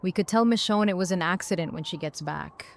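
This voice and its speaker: A woman's voice: lowish voice, fairly quick, vocal fry. An actress playing a blunt, determined woman survivor in an audio drama. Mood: terse. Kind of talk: fan audio drama acting.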